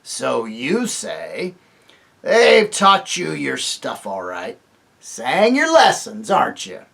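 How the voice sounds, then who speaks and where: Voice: mid-range voice, wildly swooping pitch, slow, gravelly, fairly bright tone, heavily acted. A man voicing a surly, sneering male dwarf, blunt and jeering, in a storybook reading. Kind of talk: audiobook reading.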